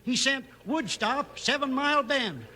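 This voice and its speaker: High-voiced, blustery riverboat captain. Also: male voice, expressive, measured pace, pushed and bright, exaggerated voice. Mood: indignant. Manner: blustery, exclaiming. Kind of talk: 1950s radio western acting. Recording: noisy 1950s radio recording.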